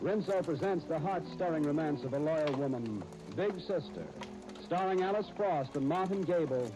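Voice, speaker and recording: A man's voice: on the high side, lively intonation, moderate pace, over loud music. An American man announcing on 1930s radio, handling scripted narration and advertising patter. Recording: noisy 1939 radio disc recording.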